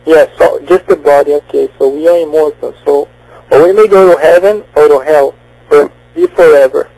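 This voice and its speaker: A man's voice: on the high side, normal speed, warm tone, no room sound, poor audio. A man with a Brazilian accent calling a radio show, hesitant and checking that he understands. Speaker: caller. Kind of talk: phone-in conversation.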